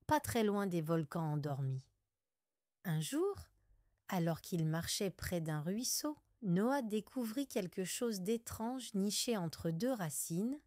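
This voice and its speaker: Woman narrating a children's story, unhurried pace. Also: mid-range voice, a little mellow, no room sound, clean studio sound.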